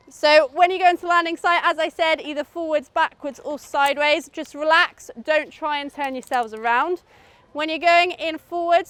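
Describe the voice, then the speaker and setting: A woman's voice: high-pitched, a bit bright, dry recording. A woman with a British accent giving an informal, instructive safety briefing to a group, often checking they follow. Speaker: outdoor adventure course safety instructor.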